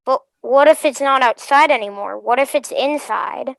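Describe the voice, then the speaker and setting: Woman as a high-voiced, watchful youth. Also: normal speed, vocal fry, no room sound, rough recording. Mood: uneasy, ominous. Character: Jethro, watchful uneasy male youth. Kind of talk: fan table read of a TV drama script. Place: online video call.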